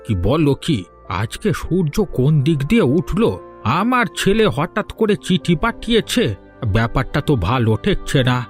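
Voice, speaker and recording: A man's voice: a little high, very animated, moderate pace. A man voicing a bitter, sarcastic, aggrieved old village father in a Bengali cartoon. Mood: suspicious. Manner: grumbling, sarcastic. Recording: music behind the voice.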